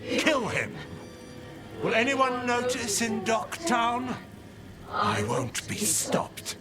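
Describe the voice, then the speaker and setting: Slow, grandiose villainous blood mage. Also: male voice, extremely high pitch, huge pitch swings, heavily acted. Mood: cold, commanding. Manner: grandiose, menacing, declamatory. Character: fanatical blood mage villain speaking through a possessed man. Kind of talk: theatrical fantasy video game acting. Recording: loud music behind the voice.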